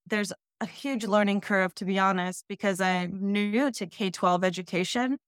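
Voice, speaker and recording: A woman originally from the Netherlands, in her thirties, answering interview questions in a measured, explanatory way. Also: mid-range voice, fairly even intonation, clean tone, dry recording, studio quality. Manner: measured, explanatory, occasional restarts. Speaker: school district planning head.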